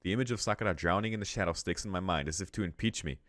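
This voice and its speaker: A man reading a visual novel aloud as a let's play host, in measured narration with casual, slangy asides. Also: low-pitched, a bit bright, no echo, clean studio sound. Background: music.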